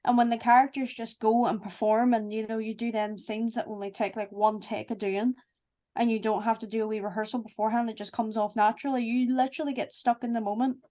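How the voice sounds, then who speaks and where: A woman's voice: a little high, quick pace. A woman, chatting casually and effusively as a voice actor. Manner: effusive, animated storytelling with acted-out asides. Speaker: voice actor and executive producer. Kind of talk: casual cast chat.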